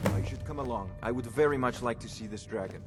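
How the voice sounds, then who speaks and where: An actor playing a haughty, scholarly court wizard in a fantasy video game, pompous and precise. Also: male voice, mid-range voice, fast, lightly acted. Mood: excited. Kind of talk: video game character dialogue. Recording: loud music behind.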